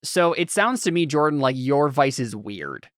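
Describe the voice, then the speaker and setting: A man runs a tabletop role-playing session in a casual, rambling way, full of fillers and restarts. His voice sits at an ordinary middle pitch. The sound is close and dry. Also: animated, normal speed, no room sound. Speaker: game master. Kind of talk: casual game chat, reading chat.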